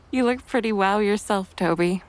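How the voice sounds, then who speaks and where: A woman playing a gentle, affectionate bride and mother in a motion comic, speaking in a soothing, tender way. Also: mid-range voice. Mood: flirty. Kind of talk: scripted cartoon dialogue.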